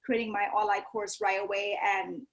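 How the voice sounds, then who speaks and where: A woman's voice: medium pitch, little pitch movement, moderate pace, muffled recording. A woman from Thailand with a Thai accent, a yoga teacher talking earnestly as a guest, often adding "you know" and "right?". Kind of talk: casual interview chat.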